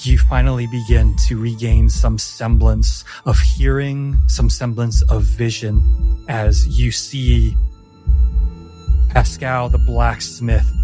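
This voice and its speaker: Slow-paced male game master narrating with music. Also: medium pitch. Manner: measured, deliberate, theatrical pauses. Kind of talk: dramatic narration of a tabletop roleplaying story.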